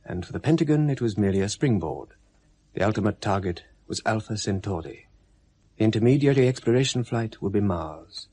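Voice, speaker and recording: A man's voice: lowish voice, animated, fairly quick, warm tone, husky. Solemn man narrating a radio drama.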